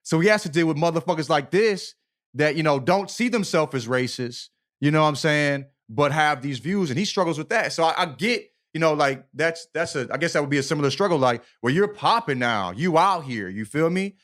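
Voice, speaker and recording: A man's voice: a little high, animated, a little fast, a bit bright. A man co-hosting a podcast in a loose, slangy conversational style, swearing freely and often checking in with his listener. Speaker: podcast co-host. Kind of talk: casual conversational podcast discussion.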